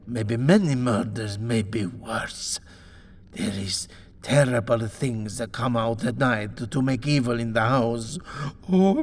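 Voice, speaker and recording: Man voicing a terrified woman, slow and creaky. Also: medium pitch, pitched above the performer's own voice. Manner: halting, fearful, wailing. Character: frightened foreign tenant mother, superstitious, dramatic.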